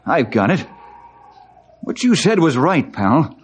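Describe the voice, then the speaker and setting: A man playing a decisive, heroic outdoorsman who leads mountain rescues in an old-time radio adventure, brisk and earnest. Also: fairly high pitch, very animated, slightly rough, exaggerated voice. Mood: brisk, commanding. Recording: very noisy old radio recording.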